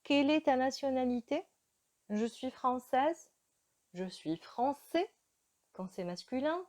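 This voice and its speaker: Woman teacher, slow and mellow, patient beginner lesson with pauses. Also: high-pitched, animated. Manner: slow, careful teaching delivery with frequent hesitations. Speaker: French teacher.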